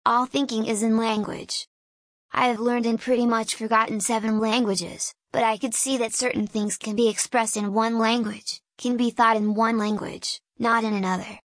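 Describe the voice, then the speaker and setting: A woman who grew up speaking talks in English, in a fairly high voice. She muses in a slow, deliberate, philosophical way and drifts from one thought to the next. The recording is dry, with no room echo. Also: flat delivery. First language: Hungarian. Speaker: small business owner. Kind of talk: reflective solo monologue.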